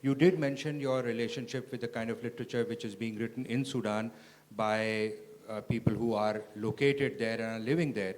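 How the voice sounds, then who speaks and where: A man asking a question at a panel, measured and searching for words. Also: medium pitch, fairly even intonation.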